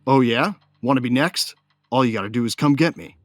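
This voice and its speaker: A man's voice: medium pitch, fairly quick, dry recording, natural voice. A man playing a cocky, hot-tempered bounty hunter who tells his own story in a brash, colloquial, hard-boiled way. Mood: furious, taunting. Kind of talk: first-person dramatised audiobook narration.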